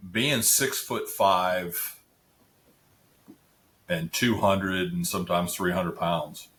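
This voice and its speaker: A middle-aged man with a deep voice, chatting casually as a podcast guest, rambling with restarts and filler words. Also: fairly bright tone. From Central Florida area resident. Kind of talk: casual interview chat.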